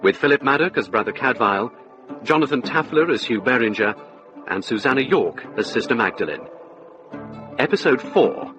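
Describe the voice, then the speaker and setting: A man announces a radio drama in a measured, scripted read. His pitch is mid-range, and music plays clearly behind him. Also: lively intonation, low-quality recording.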